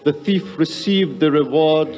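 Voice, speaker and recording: Man playing slow, solemn temple priest in reverb. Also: fairly high pitch, darkish tone, faint music behind, close to an everyday voice. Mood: solemn, ceremonial. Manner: ceremonial, measured, pompous. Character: solemn, merciless male temple priest pronouncing sentence. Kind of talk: 1950s radio drama acting. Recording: long reverberant hall, old radio drama.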